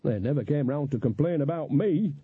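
A man's voice: a little high, lively intonation, normal speed, husky, voice pushed up. A man voicing a cheerful, mischievous older woman witch with a bantering manner, in an audiobook story. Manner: happy, bantering. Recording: echoey, narrow phone-like audio.

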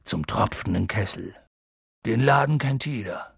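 A man's voice: lowish voice, animated, unhurried, creaky, slightly rough, no echo, studio quality, voice pushed down, exaggerated voice. A man voicing a big, kindly, protective fellow who talks in casual clipped phrases, in an audiobook. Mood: matter-of-fact. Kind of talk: audiobook character voice.

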